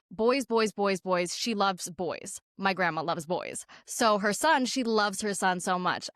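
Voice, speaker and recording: A woman's voice: medium pitch, a bit bright, no echo, clean studio sound. A young woman from Canada hosting a podcast, telling a personal story in a candid way, with lots of "like" fillers. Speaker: podcast host.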